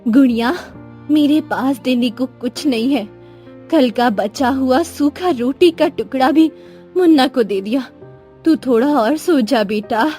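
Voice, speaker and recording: A woman acts a poor village wife from a moral storybook. Her high voice is slow, tender and plaintive, with music underneath. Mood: sad, tender. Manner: plaintive, gently reproachful. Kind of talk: acted Hindi moral storybook tale. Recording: music behind the voice.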